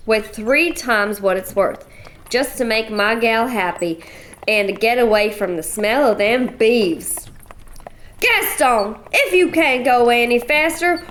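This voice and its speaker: A woman's voice: fairly high pitch, a little hiss or hum, natural voice. A woman voicing a bossy, blunt, middle-aged widow from a cattle ranch, speaking. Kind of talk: radio play acting.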